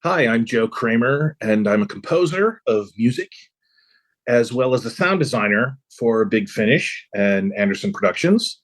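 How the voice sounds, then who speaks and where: American guy being interviewed on a podcast, middle pitch, just chatting casually. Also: animated, moderate pace. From Los Angeles, USA. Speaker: podcast guest, composer.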